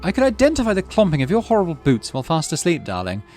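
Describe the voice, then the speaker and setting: A man puts on a languid, vain woman for a visual novel. She sounds haughty and catty, high-pitched, with swooping affected delivery. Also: wildly swooping pitch, quick pace, darkish tone, faint music behind. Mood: condescending.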